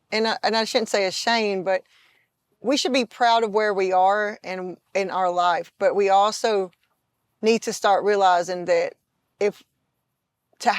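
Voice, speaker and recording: A woman's voice: mid-range voice, smooth, no room sound. Chatty woman host, dry close sound. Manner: chatty, laughs often, many restarts. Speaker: podcast host. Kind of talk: casual interview chat.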